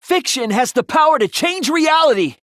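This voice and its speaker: A man voicing an earnest teenage boy detective in a dramatic anime-style video game, hesitant at times and forceful when arguing. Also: very high-pitched, lively intonation, moderate pace, no echo, studio quality. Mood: defiant.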